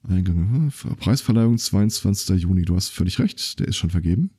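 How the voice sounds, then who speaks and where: Low, mellow man chatting on a podcast. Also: lively intonation, brisk, husky. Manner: rambling storyteller, many fillers and restarts. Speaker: podcast host. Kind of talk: casual podcast chat.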